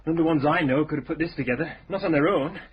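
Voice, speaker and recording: An actor playing a chatty young detective sergeant in a radio crime drama. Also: male voice, on the high side, very fast, close to an everyday voice. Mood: musing. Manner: chatty, lively and informal. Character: young detective sergeant, plain-spoken and restless. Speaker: sergeant to the inspector. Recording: slightly dull radio recording, faint hiss.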